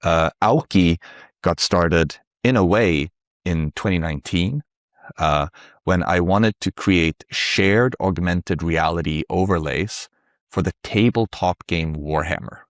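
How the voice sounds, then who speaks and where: A man's voice: low voice, slow pace, no echo, clean studio sound. A middle-aged man from Sweden, a native Swedish speaker, explaining things conversationally and reflectively as an interview guest. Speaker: interview guest, tech company founder.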